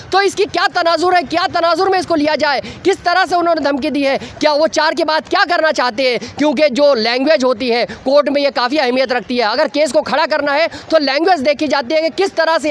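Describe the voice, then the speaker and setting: A man giving a semi-improvised news report, speaking in a halting, repetitive way with filler phrases. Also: very high voice, a bit bright, slight background noise.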